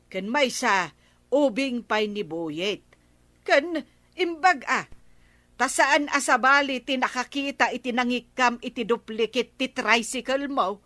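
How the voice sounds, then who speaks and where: A woman's voice: fairly high pitch, a little slow, bright, forceful tone, dry recording. An actress with an Ilocano accent playing a scolding, emphatic village woman in a radio comedy drama. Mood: admonishing.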